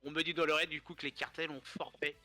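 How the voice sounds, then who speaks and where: Stuffy-nosed caster, bright tone. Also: male voice, a little high, little pitch movement, fast, soft background music. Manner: joking, rambling casual commentary. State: stuffy nose, hoarse from a cold. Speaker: esports caster. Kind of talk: live esports commentary.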